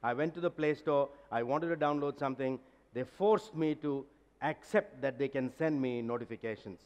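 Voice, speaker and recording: A middle-aged man speaks at a conference. He talks from a big stage in a direct, emphatic way, and his voice rings with a long hall reverb at an ordinary pitch. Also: normal speed, darkish tone, lo-fi sound. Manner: direct, emphatic, gesturing while talking. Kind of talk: conference talk and Q&A.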